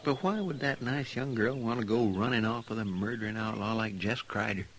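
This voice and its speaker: A man's voice: lowish voice, brisk, lightly acted. An actor with a rural American accent playing a homespun, good-natured lawman's sidekick in a radio western, plainspoken and deferential. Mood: puzzled, low. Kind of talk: mid-century American western radio drama acting. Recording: noisy vintage radio recording.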